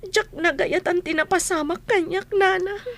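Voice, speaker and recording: Woman acting high-voiced wronged young sister, Ilocano accent. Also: fairly even intonation, moderate pace, a bit bright. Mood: tearful. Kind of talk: Ilocano radio drama acting.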